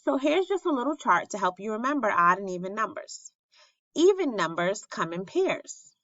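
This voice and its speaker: A woman reading a children's picture book aloud like a teacher, with expressive, animated and instructive storytelling. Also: medium pitch, normal speed. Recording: roomy, slightly muffled sound.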